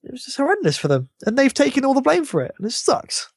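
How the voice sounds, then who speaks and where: A man's voice: very high voice, wildly swooping pitch, fast, dry recording, studio quality. A man chatting casually as a podcast co-host. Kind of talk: casual chat.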